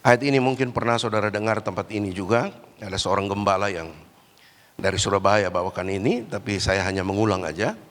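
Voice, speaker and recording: A man preaching a Pentecostal sermon while fighting a cold and cough, swinging between loud, raised exhortation and low, quiet asides. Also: a little fast. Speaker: guest preacher. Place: large church hall. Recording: church hall with long reverb.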